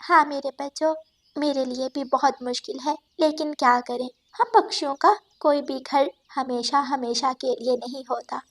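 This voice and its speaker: A woman voicing a caring, worried mother bird in an animated moral story. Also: sits high, normal speed. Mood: sad, resigned.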